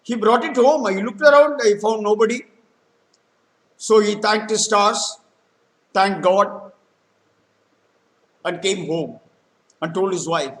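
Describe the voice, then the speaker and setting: A man telling a folktale in a halting, explanatory way, with frequent hesitant pauses. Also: high voice, poor audio. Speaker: storyteller. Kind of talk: spoken folktale retelling with vocabulary explanations.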